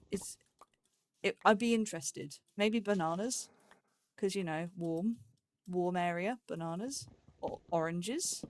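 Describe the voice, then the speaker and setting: Woman, slightly low voice, casual let's play host, rambling and playful as she thinks aloud. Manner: rambling, self-chiding, playful.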